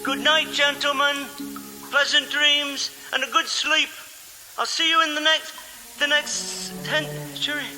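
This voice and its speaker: An actor playing an erudite, self-assured scientist who leads a gang of thieves in a radio drama, speaking formally and precisely. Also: male voice, very high-pitched, steady tone, unhurried, pushed and bright, exaggerated voice. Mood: instructing, calm. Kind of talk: dramatic radio play acting. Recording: reverberant hall sound, music underneath.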